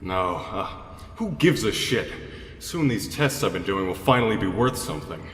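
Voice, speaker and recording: A man's voice: lowish voice, expressive, normal speed, a bit bright. A man playing a bitter, scheming tech villain who covers it with false politeness in an audio drama. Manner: muttering to himself, falsely obliging. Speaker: voice actor. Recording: echoey, reverberant room with clear noise.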